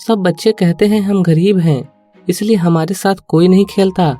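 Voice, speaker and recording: A man's voice: high-pitched, steady tone, moderate pace, dark tone, faint music behind, pitched above the performer's own voice, lightly acted. A man voicing a sad, innocent, earnest poor little boy in a cartoon moral story. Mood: plaintive.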